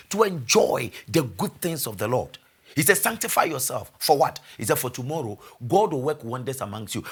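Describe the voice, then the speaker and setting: A man preaching a sermon as pastor, repeating key phrases, asking the congregation rhetorical questions and building to loud exhortation. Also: medium pitch, husky.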